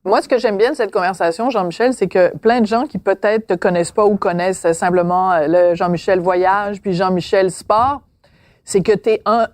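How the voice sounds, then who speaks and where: A woman in her fifties with a Québécois accent, teasing in casual chat, often halting on fillers. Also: mid-range voice, steady tone, a little mellow, no room sound. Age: 50s.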